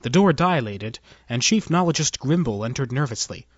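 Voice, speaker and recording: A man's voice: medium pitch, lively intonation, moderate pace, breathy edge, no room sound, muffled recording. A man reading scripted narration as a storyteller.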